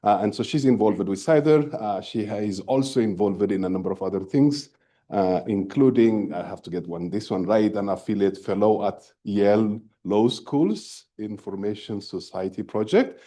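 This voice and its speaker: Deep, mellow man introducing a speaker. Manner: halting, with many fillers and restarts. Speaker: workshop organizer introducing a speaker. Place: lecture room.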